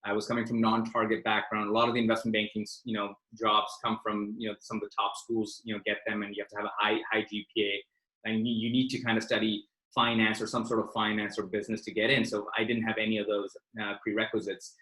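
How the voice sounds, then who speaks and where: A man's voice: lowish voice, little pitch movement, quick pace, sharp tone, reverberant, low-quality recording. A man from Pakistan hosting a career webinar for students, talking informally and directly with plenty of fillers. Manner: informal and direct, lots of fillers, addresses listeners as "you guys". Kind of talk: casual, interactive online presentation.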